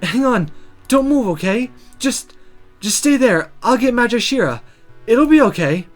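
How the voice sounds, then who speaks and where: A man's voice: very high-pitched, big pitch swings, measured pace, soft background music, voice pushed up, exaggerated voice. A man voicing an intense, guilt-ridden young female magic student in a visual novel. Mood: urgent, panicked. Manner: urgent, self-blaming.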